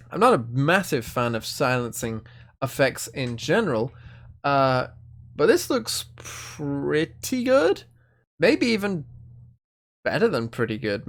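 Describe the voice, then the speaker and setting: A young man casually talking through new cards for a card game, thinking aloud with lots of hedges and fillers. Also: fairly high pitch. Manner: chatty, hedging, thinking aloud with many fillers. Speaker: card game video host. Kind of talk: casual card review commentary.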